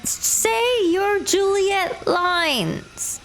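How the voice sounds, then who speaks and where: A woman voicing an impatient female play director who gives firm, slow instructions. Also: very high voice, animated, a bit bright, slight background noise, exaggerated voice. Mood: directing.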